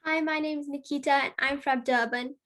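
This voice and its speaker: Young woman w a high voice, careful and deliberate, reading her part on a video call. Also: steady tone, a bit bright. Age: young adult. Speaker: youth ambassador presenter. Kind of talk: reciting a poem and reading a presentation.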